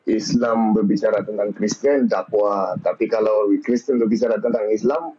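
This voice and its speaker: A man's voice: fairly low pitch, fairly even intonation, moderate pace, dark tone, husky. A man from Malaysia explaining his views in an informal religious discussion, in an agreeable, reflective way. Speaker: discussion participant. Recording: dull lo-fi call-like audio.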